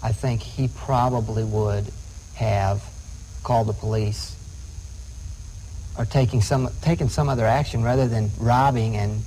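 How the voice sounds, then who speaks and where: Male lawyer, dark mellow tone, measured interview delivery, slight tape noise. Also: mid-range voice, steady tone, poor audio. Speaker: defense lawyer being interviewed. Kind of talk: interview comment. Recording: faint noise, old TV news tape.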